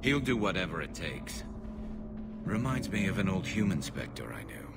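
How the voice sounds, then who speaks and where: An actor playing a wry, battle-seasoned, loyal alien soldier in a video game. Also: male voice, low voice, big pitch swings, normal speed, vocal fry, very noisy, full character voice. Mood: wry, grave.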